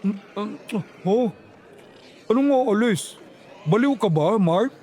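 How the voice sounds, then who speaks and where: A man's voice: high voice, expressive, takes it slowly, darkish tone, a little hiss or hum. A man voicing a sleepy, easygoing young man in a horror audio story, sounding lazy and dismissive.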